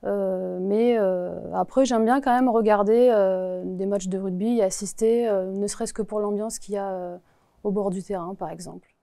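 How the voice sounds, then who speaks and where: A woman in her forties from the Paris region answers interview questions. She hesitates often and fills the pauses. Her voice is mellow and warm, recorded close and dry. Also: mid-range voice, steady tone, gravelly, no echo. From Paris region, France. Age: 40s. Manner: hesitant, many filler pauses. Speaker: postal worker, interview guest.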